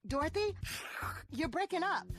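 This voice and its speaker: Radio ad skit: actress as surprised, playful woman taking a friend's call, lively high voice. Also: normal speed, exaggerated voice. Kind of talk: radio ad comedy dialogue.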